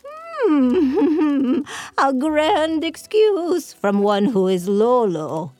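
A woman voicing a sharp-tongued, half-blind old grandmother who scolds and mocks with a cackle. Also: high voice, big pitch swings, very slow, no room sound, faint music behind, voice pushed up, heavily acted. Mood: scolding.

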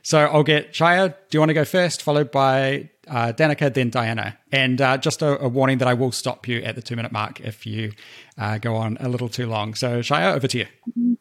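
A man's voice: mid-range voice, normal speed, fairly bright tone. A man hosting a podcast interview with local election candidates, moderating in an orderly, friendly way. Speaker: podcast host.

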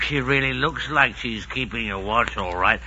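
A man's voice: mid-range voice, moderate pace, pushed and bright. A man playing a coarse, sniggering common soldier with a drawling, jeering delivery in 1940s radio drama style. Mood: gloating amusement. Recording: muffled, noisy 1940s radio recording.

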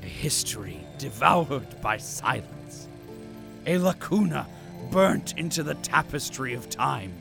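An actor playing a pompous, self-pitying company official in an audio drama, speaking in florid, grandiloquent phrases with melodramatic flair. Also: male voice, mid-range voice, big pitch swings, takes it slowly, vocal fry, music in the background, heavily acted. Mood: weary, mournful pomp.

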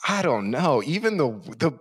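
A man's voice: fairly high pitch, sing-song, moderate pace, breathy edge. A man co-hosting a casual sports podcast, talking hesitantly with restarts and an amused tone. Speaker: podcast co-host. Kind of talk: casual sports roundtable chat.

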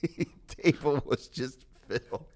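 A man's voice: a little high, a little slow, darkish tone. An American man chatting casually as he hosts an interview, in a jokey manner with chuckles as he talks. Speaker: podcast host, sports broadcaster.

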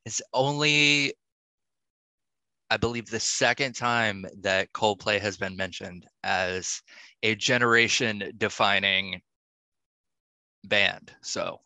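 Guy hosting a podcast, talks slow, bright clear-cut tone, really dry sound, casual chat. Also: on the low side, dry recording, rough recording. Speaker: podcast host.

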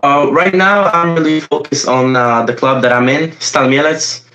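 A man's voice: fairly high pitch, steady tone, normal speed, pushed and bright, lo-fi sound. Young Polish man answering hesitantly. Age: young adult. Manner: hesitant, searching for words with many fillers. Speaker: footballer guest. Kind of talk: interview answers.

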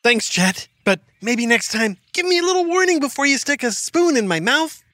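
A woman's voice: high voice, very animated, normal speed, fairly bright tone, no echo, pitched above the performer's own voice. A woman doing a stuffy-nosed friendly narrator. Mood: quizzing. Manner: quizzing kids with multiple-choice questions. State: stuffed-up nose. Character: friendly narrator with a bad head cold. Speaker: show narrator.

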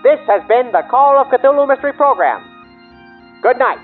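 A man reading the show's outro credits and sign-off in a smooth, businesslike way. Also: very high voice, lively intonation, moderate pace, faint music behind. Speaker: show announcer. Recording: muffled, dull sound.

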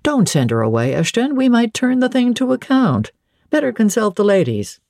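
Woman voicing a courteous, animated gentleman colonel. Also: a little high, moderate pace, dry recording, clean studio sound, pitched above the performer's own voice. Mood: persuasive, jovial. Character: genial gentleman colonel, suave and mischievous.

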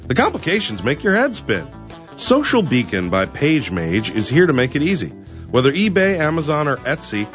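A man's voice: medium pitch, fairly bright tone, muffled recording. A man reading a scripted radio advertisement in a conversational style. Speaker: advertisement announcer.

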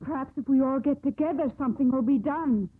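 An actress playing a proud, resolute young woman in 1950s radio drama, with a crisp, firm, formal delivery. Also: high-pitched, fairly quick, natural voice. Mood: defiant. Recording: muffled, tinny 1950s radio broadcast.

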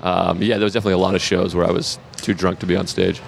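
A comedian in his thirties with a Boston accent talks in a casual interview. His voice sits very low with a gravelly fry. He halts, restarts and laughs at himself often. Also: level tone. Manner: halting, laughs often, self-deprecating. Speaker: comedian guest.

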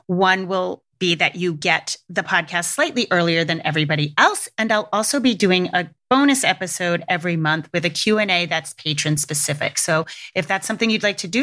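A woman's voice: lowish voice, pushed and bright. A woman hosting a parenting podcast, chatty and frank, reading announcements and interviewing a guest. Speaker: podcast host.

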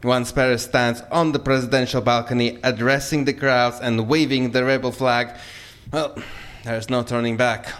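An actor playing a deadpan, businesslike Kremlin official in an audio drama, speaking in a matter-of-fact way. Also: male voice, mid-range voice, normal speed, lightly acted. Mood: grave, businesslike.

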